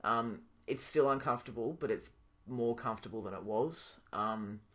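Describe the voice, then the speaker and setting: A young man talking casually to his camera in a video diary, pausing often with ums and restarting his sentences. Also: medium pitch. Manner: relaxed, thinking aloud with many ums and restarts. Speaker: vlogger.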